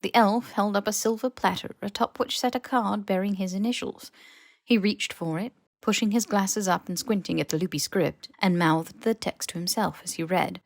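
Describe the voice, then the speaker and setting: A woman reading fiction as a scripted audiobook narration, in an expressive, wry storytelling manner. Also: medium pitch, normal speed, gravelly, no room sound, studio quality. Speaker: podfic narrator.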